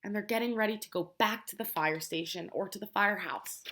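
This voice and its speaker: A woman teacher reading a picture book aloud to young children, explaining simply and prompting them with questions in an expressive way. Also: mid-range voice, fairly quick. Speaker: preschool teacher.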